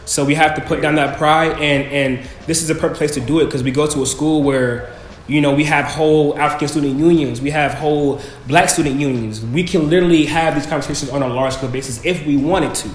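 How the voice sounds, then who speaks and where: A man's voice: on the high side, steady tone, quick pace. A young man on a student panel, talking earnestly and casually, often checking in with "you know what I'm saying". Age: young adult. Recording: echoey room, faint background noise.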